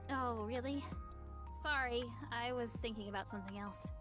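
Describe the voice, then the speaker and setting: A woman's voice: a little high, normal speed, music in the background, natural voice. A woman voicing an absent-minded young female weapon maker, proud of her craft, in an English-language video game. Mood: distracted.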